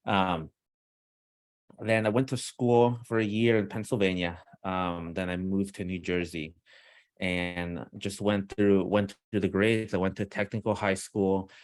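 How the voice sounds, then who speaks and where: Male podcast guest from Peru, low voice, informal and enthusiastic, chatting over a video call. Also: flat delivery, measured pace, no echo. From Peru, moved to the US as a young child. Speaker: podcast guest, company founder. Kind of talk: casual conversational interview. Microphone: own external microphone on a video call.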